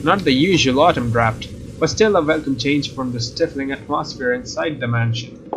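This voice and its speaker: A man's voice: medium pitch, moderate pace, noisy background. A man hosting a let's play, reading a visual novel's story narration aloud. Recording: dull, lo-fi microphone sound. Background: guitar game music.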